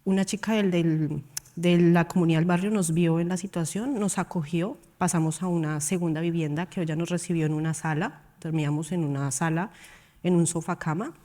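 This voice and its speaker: A woman from Colombia, a panel guest at a conference, giving a personal account with hesitant pauses and fillers. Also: on the low side, steady tone, mellow. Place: conference hall.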